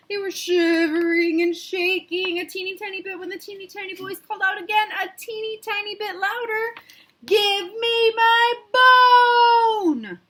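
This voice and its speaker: Woman, high-pitched voice, children's read-aloud storyteller, expressive narration, interactive delivery. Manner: interactive, questions and explains to young listeners.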